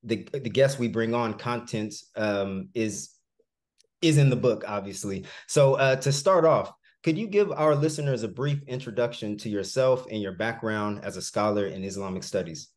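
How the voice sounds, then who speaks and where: Male podcast host on a video call, lowish voice, polite measured questions. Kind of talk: hosted interview. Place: home room, plain wall.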